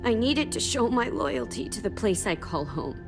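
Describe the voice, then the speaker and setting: A woman's voice: fairly high pitch, very animated, moderate pace, gravelly. Obedient, strained teenage girl soldier. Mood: strained, dutiful. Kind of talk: dramatic video game acting. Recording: music behind the voice.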